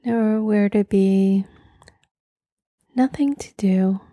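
A woman guiding a meditation in a soft, sometimes breathy voice, gentle and soothing, with long pauses. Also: mid-range voice, dry recording, clean studio sound. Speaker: meditation guide. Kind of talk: guided meditation.

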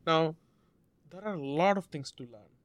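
A man from India, a Malayalam speaker, telling a story as an interview guest in an earnest, measured way. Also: fairly high pitch, a bit bright, no echo. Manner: measured, earnest, drawn-out phrasing with restarts.

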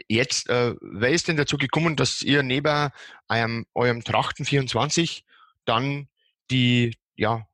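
A man's voice: medium pitch, unhurried, a bit bright, dry recording. A man hosting a podcast, asking his guest conversational questions in a friendly, rambling way. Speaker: podcast host, interviewer.

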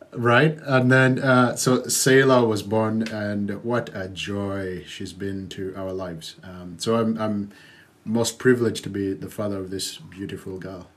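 A man, a podcast guest who speaks thoughtfully and hesitantly, with many fillers and "right?" tags. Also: fairly low pitch. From Uganda. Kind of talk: conversational podcast interview.

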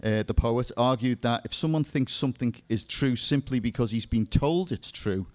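A man's voice: medium pitch, moderate pace, darkish tone. A man with a Liverpool accent giving a seminar talk on faith to a live audience, with self-deprecating jokes and asides. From England. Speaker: seminar speaker.

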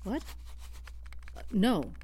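An actress playing a patient, kindly young office worker, explaining things a little hesitantly in a satirical audio drama. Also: female voice, drawn-out words, a little mellow, slight background noise. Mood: patient, puzzled. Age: young adult.

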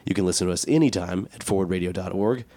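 An American man co-hosting a talk podcast in casual chat, joking and self-deprecating, with a raspy voice from a cold. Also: deep voice, fast talker, mellow, dry recording. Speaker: podcast and radio show co-host.

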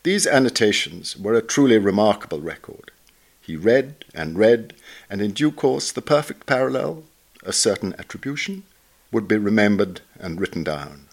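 Male narrator, lower-pitched, for a formal, measured reading of a literary obituary. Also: sing-song. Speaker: reader, narrator.

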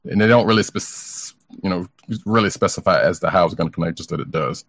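A man's voice: low-pitched, quick pace, a bit bright, no room sound, clean studio sound. A man co-hosting a podcast, chatting casually about entertainment news in a tentative, hedging way. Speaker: podcast co-host. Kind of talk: casual comic book news chat.

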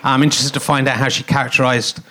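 A man's voice: mid-range voice, level tone, fast, fairly bright tone. A middle-aged man with a snotty cold hosting a comic live podcast on a theatre stage, rambling and self-mocking with lots of fillers. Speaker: podcast host.

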